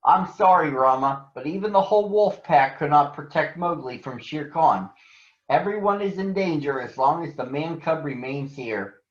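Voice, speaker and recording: Guy playing a protective panther telling a jungle story, earnest, bit high, echoey room. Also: moderate pace, natural voice. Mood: grave, warning. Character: protective male panther narrating a jungle tale. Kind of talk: storybook narration. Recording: roomy echo, dull lo-fi sound.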